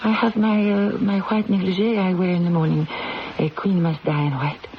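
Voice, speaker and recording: A woman acting a proud, condemned French queen in a radio drama, with a regal, theatrical, deliberate delivery. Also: on the low side, level tone, close to an everyday voice. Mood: proud, strained. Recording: muffled old radio recording, faint noise.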